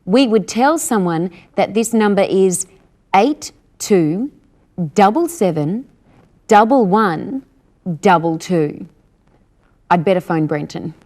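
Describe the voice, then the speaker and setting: A woman's voice: medium pitch, lively intonation, takes it slowly, long pauses, darkish tone. A woman presenting a scripted English lesson with careful, instructional delivery. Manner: didactic, careful articulation.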